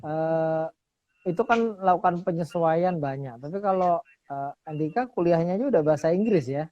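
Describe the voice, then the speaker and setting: A man hosting a casual interview, upbeat, quick to affirm his guest and laugh. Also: a little high, warm tone. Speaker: host. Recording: dull lo-fi sound.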